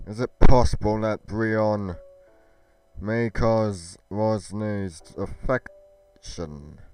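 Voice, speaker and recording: A man delivering a scripted fantasy roleplay monologue as a brooding nobleman, in a solemn, formal and deliberate manner. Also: on the low side, fairly even intonation, darkish tone, clean tone, faint music behind. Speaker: creator narrating a Minecraft roleplay in character.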